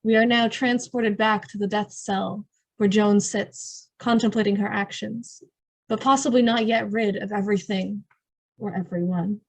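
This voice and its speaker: A woman's voice: mid-range voice, fairly even intonation, normal speed, close to an everyday voice, rough recording. A woman narrating an old-time radio drama in a theatrical, knowing, suspenseful way. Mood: ominous knowing.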